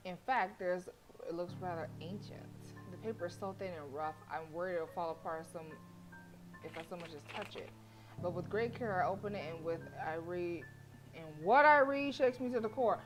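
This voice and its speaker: A woman's voice: lowish voice, normal speed, music in the background, poor audio. A woman streamer reading a horror visual novel aloud, breaking off into joking, swearing asides. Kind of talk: reading game text aloud with asides to chat.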